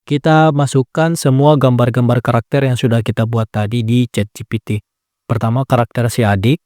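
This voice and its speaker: Mellow-voiced man explaining a tutorial. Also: medium pitch, normal speed, no echo, studio quality. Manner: relaxed step-by-step explaining, frequent "nah" and "ya kan" tags. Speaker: tutorial creator. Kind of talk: casual screen-recorded app tutorial.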